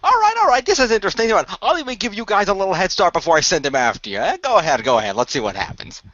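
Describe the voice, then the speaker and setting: A man's voice: high voice, big pitch swings, racing, fairly bright tone, no echo, lo-fi sound, voice pushed up. A man voicing a smug, mocking underworld god villain in an amateur radio play, flippant and taunting. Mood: amused, smug.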